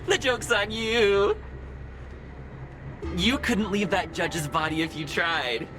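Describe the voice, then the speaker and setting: A man's voice: sits high, full character voice. A man voicing a cruel, taunting villainous spirit in an anime game, theatrical, drawing out his words, with music behind. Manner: drawn-out, mocking, theatrical.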